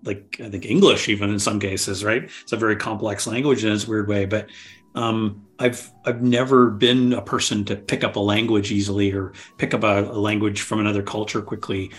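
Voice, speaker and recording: A man hosting an interview podcast, relaxed and a little rambling as he asks questions. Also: lowish voice, normal speed. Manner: relaxed, rambling, laughs easily. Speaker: podcast host. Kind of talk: casual interview chat.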